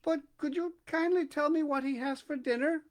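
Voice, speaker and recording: A man voicing a small, polite and curious young elephant calf in a storybook. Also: normal speed. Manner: high, polite, eager.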